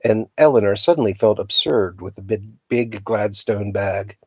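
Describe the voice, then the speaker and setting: A man reading a children's novel aloud as a storyteller, with a dramatic, expressive delivery. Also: lowish voice, normal speed, breathy edge, no room sound. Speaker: storytime reader.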